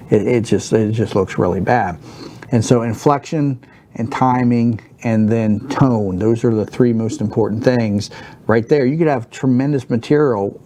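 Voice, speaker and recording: Monotone man with a dark voice. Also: lowish voice. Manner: rambling with many fillers and restarts. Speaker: speaker teaching stand-up basics. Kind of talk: unscripted talk to camera. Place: studio set with a wooden backdrop, seated at a desk.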